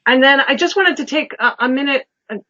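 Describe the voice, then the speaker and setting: A woman's voice: sits high, brisk, sharp tone, dry recording, highs cut off. A woman travel agent giving an informal, rambling presentation to a group as its organizer. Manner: chatty, rambling with restarts.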